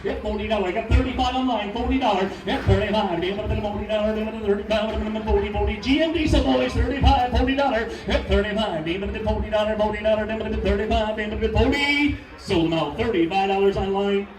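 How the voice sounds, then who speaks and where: A man working as an auctioneer, calling bids in a rapid, rhythmic sing-song chant through a PA at an auction event. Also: high-pitched, steady tone. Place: large hall at an auction event. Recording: roomy hall sound from far off, music behind.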